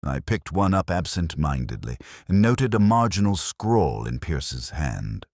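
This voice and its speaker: A man with an extremely deep voice narrates a detective mystery in the first person. His delivery is solemn and measured, with lively movement in pitch across carefully literary sentences. The sound is close and dry, free of room echo. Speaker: audio drama narrator.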